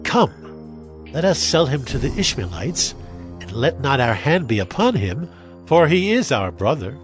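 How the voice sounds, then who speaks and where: A calculating, persuasive brother in a scripture drama. His mid-pitched voice moves expressively over background music. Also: sing-song, a little slow. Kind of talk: Bible audio drama acting.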